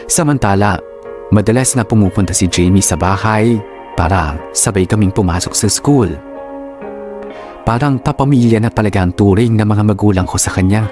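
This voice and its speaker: A man acting sentimental boyfriend narrator, low voice, Filipino accent, reflective, sincere, radio drama, soft guitar behind. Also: moderate pace, close to an everyday voice. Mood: fond reflection. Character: devoted sentimental boyfriend narrating his love story. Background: soft guitar music.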